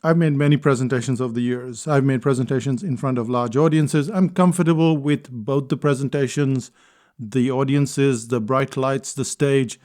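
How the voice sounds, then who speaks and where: Male speaker, mid-pitched, measured storytelling delivery, reflective spoken-essay tone. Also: a little mellow. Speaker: speaker and consultant.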